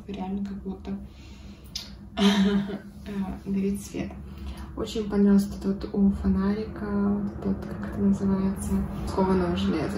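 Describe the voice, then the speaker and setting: A slightly hoarse woman chatting casually about her needlework at home, sometimes dropping to a whisper. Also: medium pitch. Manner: chatty, drops into whispers, laughs softly. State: a bit hoarse. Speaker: cross-stitch vlogger. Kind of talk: casual chat showing needlework. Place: home, at a table. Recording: echoey room, mic at a distance, noisy background.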